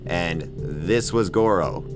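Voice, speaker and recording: Low-voiced man giving opinionated video essay commentary. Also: slow. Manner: opinionated, emphatic, casual swearing. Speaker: video essay host and film critic. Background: music bed under voice-over.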